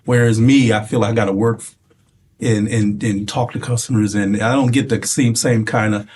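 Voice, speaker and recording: A man with a light, soft voice who speaks hesitantly and stops often to search for words. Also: fairly low pitch, fairly even intonation, normal speed, a little hiss or hum. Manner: hesitant, many fillers. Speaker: participant.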